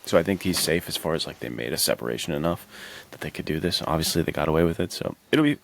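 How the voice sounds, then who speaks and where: A man with a very low-pitched voice and plenty of gravelly creak. He talks as a podcast co-host in loose, casual movie chat, full of slangy enthusiasm. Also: animated, fast, a bit bright.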